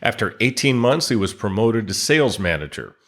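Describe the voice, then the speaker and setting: A man hosting a podcast, reading industry news from a script and introducing his guest in a steady read-aloud manner. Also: lowish voice, a little fast. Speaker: podcast host.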